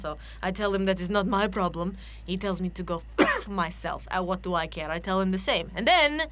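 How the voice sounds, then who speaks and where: Actress as brisk Soviet-born woman official, Russian accent, sharp bright tone, dry and blunt, radio drama. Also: mid-range voice, lightly acted. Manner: blunt, dry, clipped formal phrasing.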